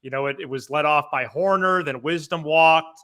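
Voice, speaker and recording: A man co-hosting a sports podcast in casual commentary, halting and repeating words as he thinks. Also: a little high, fairly bright tone.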